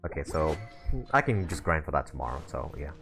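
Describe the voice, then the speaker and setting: A man's voice: a bass voice, expressive, fairly quick, gravelly. A man streaming a video game live, chatting casually and often rephrasing himself as he comments. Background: game music.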